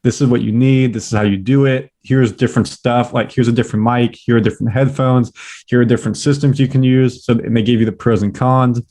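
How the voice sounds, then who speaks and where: Young californian guy on a podcast, upbeat and fast, lots of likes. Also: mid-range voice, steady tone, mellow. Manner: upbeat, talks fast in bursts, many "like" fillers. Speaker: podcast guest. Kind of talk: casual interview chat.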